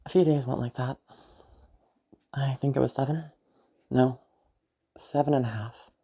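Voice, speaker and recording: A woman playing a detached, eerily calm sociopath who recounts the past in a halting, musing monologue with a husky, creaky voice. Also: a bass voice, full character voice. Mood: detached reminiscing. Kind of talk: first-person audio roleplay monologue.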